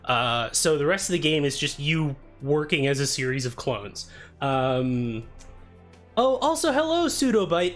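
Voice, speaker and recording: A young man chatting casually on a live stream, greeting viewers by name and poking fun at himself, with a soft, soothing voice. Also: fairly high pitch. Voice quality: soft, told he sounds soothing. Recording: faint music behind.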